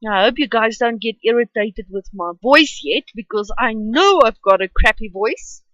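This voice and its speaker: A woman's voice: fairly high pitch, animated, normal speed, a bit bright, dry recording, muffled recording. A woman with an Afrikaans accent, whose first language is Afrikaans, chats and rambles with many ums while narrating her drawing at home. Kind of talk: casual voice-over for a speed drawing.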